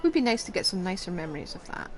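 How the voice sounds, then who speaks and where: A woman's voice: on the low side, very animated, rapid-fire, over music. A woman streaming a game with casual, musing, somewhat hesitant commentary. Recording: clear background noise and game sound.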